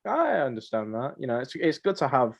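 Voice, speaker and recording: A man's voice: medium pitch, wildly swooping pitch, brisk, creaky, lo-fi sound, a little hiss or hum. A man hosting a casual podcast chat, somewhat hesitant and often repeating his phrases. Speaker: podcast host.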